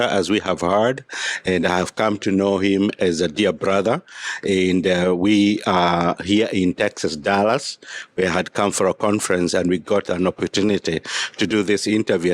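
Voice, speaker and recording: A man from Kenya hosts a radio interview in a very low voice. He speaks in a measured way and keeps affirming his guest. The recording is dry. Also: fairly even intonation, no echo. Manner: frequent brief affirmations. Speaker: radio host. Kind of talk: interview host.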